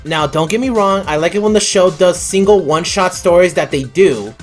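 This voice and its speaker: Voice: mid-range voice, fairly quick. Informal reviewer with music behind. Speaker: video reviewer and host. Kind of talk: scripted review narration.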